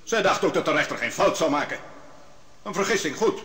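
An actor playing a young prophet who sharply questions witnesses and challenges a judge in an old radio drama. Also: male voice, on the high side, animated, fairly quick. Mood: commanding. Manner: sharp, commanding, interrogating. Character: young prophet defending the accused, commanding. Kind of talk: 1960s Dutch radio drama. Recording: reverberant old radio recording.

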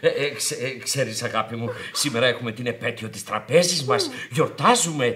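An actor playing a pompous, nervy, easily flustered bank director in a theatrical radio drama. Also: male voice, medium pitch, expressive, bright, forceful tone. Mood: placating. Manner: pompous, flustered, stammering pet exclamations. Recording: old radio theatre recording.

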